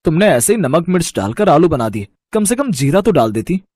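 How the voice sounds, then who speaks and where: A man's voice: on the high side, very animated, fairly quick, darkish tone, no room sound. A man voicing a principled, reproachful young husband in a cartoon moral story.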